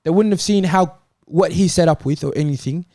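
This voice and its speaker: Guy on a football talk show, soft mellow tone, pushing his point, dry close sound. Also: a little high, a little fast, dry recording. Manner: argumentative, insistent. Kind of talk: casual sports debate.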